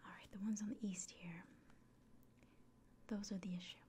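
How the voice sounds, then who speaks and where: A woman playing a kindly, caring innkeeper in an ASMR fantasy roleplay, whispering gently and reassuringly. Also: mid-range voice, steady tone, normal speed, a little hiss or hum, lightly acted. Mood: helpful, instructive.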